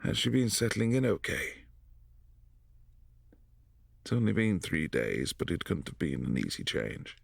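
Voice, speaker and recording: A brooding, possessive vampire prince, voiced by a man in a boyfriend ASMR audio drama. His voice is low and husky, with a soft, hushed delivery that often drops to a whisper. The recording is close and dry, with no room sound. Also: big pitch swings, unhurried, long pauses, dry recording, heavily acted. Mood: calm, concerned.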